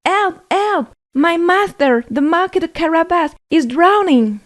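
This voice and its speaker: A woman's voice: very high voice, expressive, measured pace, voice pushed up. A woman voicing a clever, bold male talking cat in a fairy tale, with a theatrical, declaiming manner. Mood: urgent.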